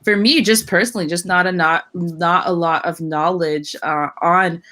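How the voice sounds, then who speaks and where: A woman's voice: on the low side, steady tone, moderate pace, a bit bright. A woman, a panel guest speaking reflectively and searching for words, with frequent fillers and restarts. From Saskatchewan, Canada.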